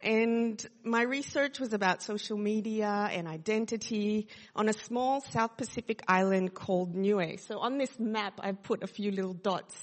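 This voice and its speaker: A woman in her thirties from Sydney with an Australian accent, sharing her testimony in a chatty way with many fillers. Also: mid-range voice. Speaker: church speaker sharing testimony. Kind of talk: casual testimony talk to a congregation. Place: church hall. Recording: long hall reverb.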